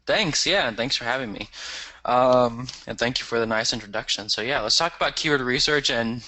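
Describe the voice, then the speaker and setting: A man's voice: mid-range voice, a little fast, pushed and bright. A man with a cold giving a casual webinar lesson over slides, explaining terms with fillers and restarts. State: has a cold. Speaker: guest expert teaching a webinar.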